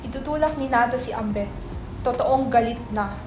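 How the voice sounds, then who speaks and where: A woman with a high voice narrates stage directions for a dramatic reading. Her lines are short, scripted descriptions of action. Faint room noise runs behind her. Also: normal speed, a little mellow.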